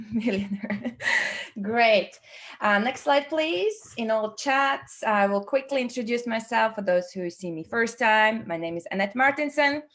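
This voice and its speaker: A woman's voice: fairly high pitch, lively intonation, a little slow, fairly bright tone. A woman from Latvia hosting an online team webinar, upbeat and chatty, with many fillers and restarts, speaking over a video call. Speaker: webinar host. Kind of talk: online team meeting presentation.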